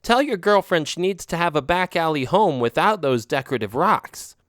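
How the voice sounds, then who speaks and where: A man's voice: on the high side, animated, moderate pace, a bit bright, dry recording, studio quality, voice pushed up. A man voicing a cheerful, knowing male alley cat who warns of danger. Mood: warning.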